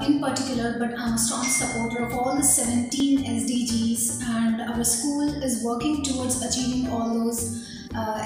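A woman who is a head of academics, introducing herself with frequent hesitations. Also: a little high. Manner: hesitant, many fillers. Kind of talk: spoken introduction. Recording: very echoey room.

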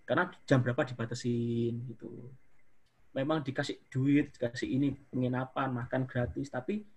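A young man from Indonesia, studying abroad, chatting casually as an interview guest with a relaxed, rambling manner. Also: mid-range voice, normal speed, slightly rough. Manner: relaxed, chatty, hedging with fillers.

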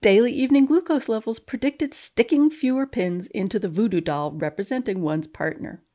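A woman's voice: mid-range voice, moderate pace, dark tone. A woman reading formal scientific text aloud in a precise, straight-faced manner. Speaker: guest reader, scientist. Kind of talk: dramatic reading aloud of a research paper.

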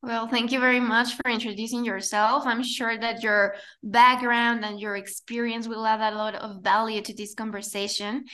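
A woman's voice: a little high, level tone, moderate pace, few pauses, pushed and bright. A woman hosting a podcast, reading a scripted introduction and asking interview questions in a formal, polite way. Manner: formal, polite, read-aloud delivery. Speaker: podcast host.

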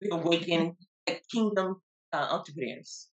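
A woman guest coach, earnest and a little halting, who is losing her voice. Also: fairly low pitch, rough recording. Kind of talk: interview conversation.

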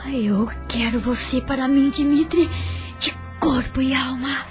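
A woman's voice: high-pitched, fairly even intonation, a little slow, darkish tone, soft background music. An actress playing a passionate, headstrong young woman in a radio drama, speaking ardently and coaxingly.

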